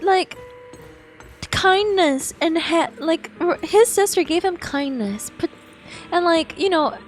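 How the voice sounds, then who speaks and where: A woman with a very high voice reacting live to a story game. She talks at a slow pace in an emotive, sympathetic way, with faint music underneath. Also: expressive. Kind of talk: live playthrough reaction, reading dialogue aloud.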